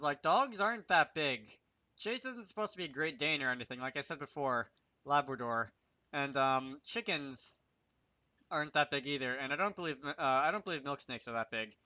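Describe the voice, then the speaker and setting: A man's voice: on the high side, bright, forceful tone, no room sound. A man giving casual, unscripted commentary over a screen recording, hesitant and thinking aloud as he works. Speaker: game developer hosting a tutorial series.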